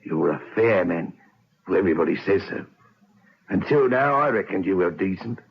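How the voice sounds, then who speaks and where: Cockney jail ringleader: husky, sardonic, jeering at authority, muffled vintage radio sound. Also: male voice, low-pitched, animated, moderate pace, full character voice. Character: insolent Cockney convict, mocking lifer and ringleader. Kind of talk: old radio drama acting. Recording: dull lo-fi vintage radio recording.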